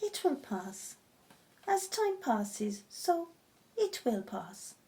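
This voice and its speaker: A gentle Egyptian wife in an audio drama, caring and soothing. Her voice is fairly high and moves freely up and down. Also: big pitch swings, drawn-out words, full character voice. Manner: soothing, tender.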